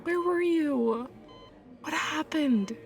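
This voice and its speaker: An actress playing an anxious, devoted and caring best friend, emotional and fretful, in a naturalistic audio drama. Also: female voice, sits high, takes it slowly, faint music behind. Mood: hurt, worried.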